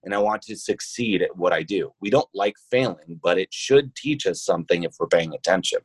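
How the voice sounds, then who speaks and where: Low-voiced man giving earnest interview answers. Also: a little fast, husky, dry recording, rough recording. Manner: earnest, reflective, searching for words. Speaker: podcast guest, role-playing show founder.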